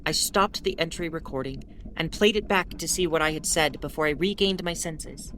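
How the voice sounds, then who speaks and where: A woman's voice: low voice, a bit bright. Woman narrating as a starship captain. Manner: measured, introspective storytelling. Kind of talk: audio drama narration. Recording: noisy audio drama recording.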